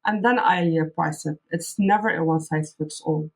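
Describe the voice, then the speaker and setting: A woman's voice: deep voice, moderate pace, slightly rough, low-quality recording. Lebanese-raised woman, arabic first language, candid chatty interview answers, lots of uh's. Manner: earnest, candid, frequent fillers and restarts. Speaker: guest copywriter.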